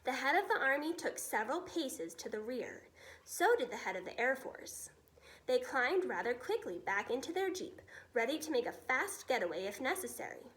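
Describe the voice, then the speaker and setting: A woman narrating a children's storybook expressively, with dramatic, deliberate emphasis. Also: sits high, very animated, a bit bright. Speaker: teacher reading a children's book aloud.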